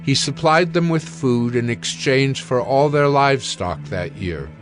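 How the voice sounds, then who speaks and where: A man's voice: medium pitch, expressive, a little slow, soft background music. A man reading scripted Bible narration for an audio drama.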